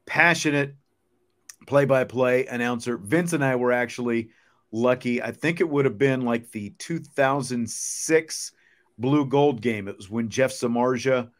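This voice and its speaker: A man's voice: medium pitch, unhurried. A middle-aged man hosting a sports show in a casual, conversational style. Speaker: sports talk show host.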